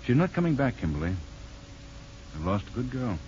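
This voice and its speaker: Muffled old radio recording, actor as kindly male doctor, brisk, paternal, lively rise and fall, 1950s drama. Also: mid-range voice, slight background noise, natural voice. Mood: matter-of-fact.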